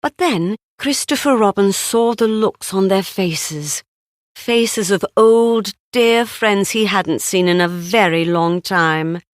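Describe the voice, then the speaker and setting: A woman reading a children's storybook aloud in an expressive, deliberate way. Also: mid-range voice, dry recording, studio quality. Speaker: storybook narrator. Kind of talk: scripted read-aloud children's story narration.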